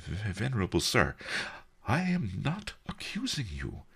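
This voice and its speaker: Male narrator playing a fawning gluttonous merchant, raised pitch, breathless, husky, anxious, deferential, storybook. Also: wildly swooping pitch, a little slow, heavily acted. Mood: anxious, contrite.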